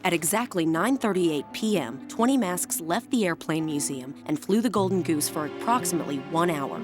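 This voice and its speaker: Woman as a low-voiced, formal anime aide. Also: lively intonation, moderate pace, music in the background, lightly acted. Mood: matter-of-fact. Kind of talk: anime dub acting.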